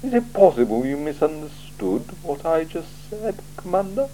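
A man voicing a cool, sardonic male city ruler in an audiobook, speaking in a slow, measured, dry and probing way. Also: a little high, sing-song, dark tone, a little hiss or hum, pitched above the performer's own voice, poor audio. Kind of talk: audiobook character voice.

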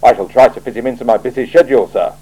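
Man reading as a blunt male watch commander, clipped deadpan answers, mellow voice. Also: mid-range voice, very fast, breathy edge, voice pushed up, natural voice, rough recording. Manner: clipped, deadpan, emphatic replies. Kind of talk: audiobook character voice.

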